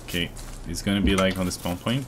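Deep-voiced male streamer, casual. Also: fast talker, noisy background. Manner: relaxed, chatty, reads out viewer chat. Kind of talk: casual gameplay chat. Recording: game music loud behind.

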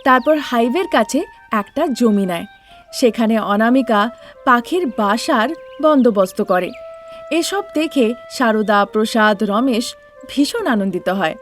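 High-voiced woman narrating a story. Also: expressive, a little slow, faint music behind. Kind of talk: scripted children's story narration.

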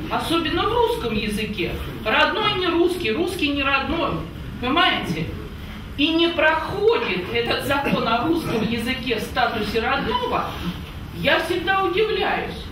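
Brief: woman in her fifties, high lively voice, insistent meeting speaker; recorded from afar in a boomy hall. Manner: emphatic, lively, repeats for emphasis, keeps asking "understand?". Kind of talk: impassioned public remarks. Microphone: far camera, no microphone. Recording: echoey hall from far off with noise.

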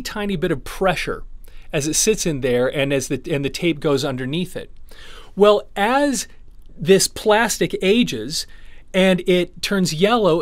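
A man's voice: sits high, no room sound. A man in his forties giving a casual, conversational explainer to camera, patiently going step by step. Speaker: presenter, audio transfer studio owner. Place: small dim wood-panelled indoor studio.

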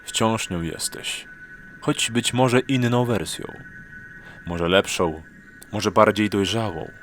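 A man voicing a wise, gentle exarch in a fantasy audio drama, speaking in a grave, warm, measured way. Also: lowish voice, a little hiss or hum. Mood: reassuring.

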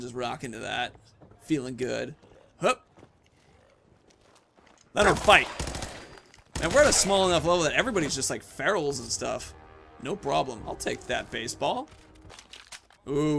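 A man's voice: medium pitch, gravelly, slight background noise. A man in his thirties giving casual, playful gameplay commentary, rambling and talking to himself as he plays. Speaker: gaming video host.

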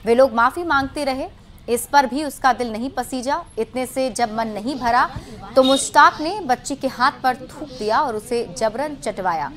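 A woman news anchor reading a bulletin of regional news in a brisk, formal headline style. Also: high voice. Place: television studio.

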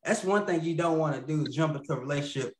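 Male guest, 30s, rambling storyteller, lots of fillers, slightly high voice, echoey video-call audio. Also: quick pace. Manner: rambling, laughs mid-sentence, many fillers and restarts. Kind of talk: casual storytelling. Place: home room.

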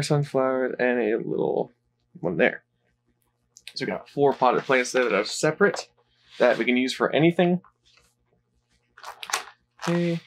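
A man giving casual, rambling commentary while unboxing a toy playset, off camera. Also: mid-range voice. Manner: relaxed, rambling, with fillers.

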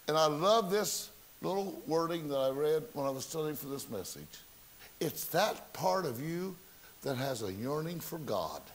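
A man preaching a sermon, telling folksy stories and asking the congregation rhetorical questions. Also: on the high side. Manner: folksy storytelling with rhetorical questions, self-mocking. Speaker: pastor. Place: church sanctuary.

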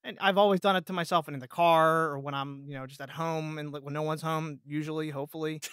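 A man's voice: a little high, steady tone, fast, very noisy. A young man talking casually and ramblingly as a guest on a podcast about voice acting. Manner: rambling, quotes own thoughts. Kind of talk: casual chat, anecdotes.

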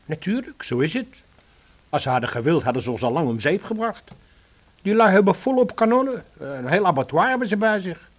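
A man's voice: sits high, very animated, brisk. An actor with a Dutch accent playing a wry, talkative man who reassures his friends in a radio drama. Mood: wry, reassuring.

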